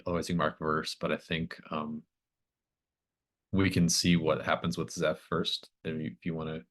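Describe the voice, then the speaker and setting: This is a man with a very low, deep voice, acting as game master for a tabletop horror game. He speaks slowly and haltingly, thinking his way through eerie descriptions.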